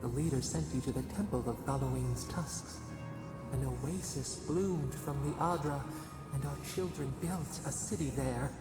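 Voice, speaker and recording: An actor voicing an ancient collective nature spirit in a fantasy game, solemn and mournful, with a gravelly, portentous, incantatory delivery that echoes under music. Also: male voice, medium pitch, measured pace. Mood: solemn, reminiscing. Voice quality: gravelly, creaking. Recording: cavernous echo, distant, under loud music.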